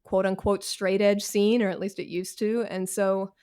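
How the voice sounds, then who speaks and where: A woman's voice: mid-range voice, flat delivery, moderate pace, mellow, smooth. American woman, mid-range voice, podcast guest. Candid, wry, self-deprecating, casual and slangy. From Washington, D.C., United States. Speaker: author, interview guest. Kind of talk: casual conversational interview.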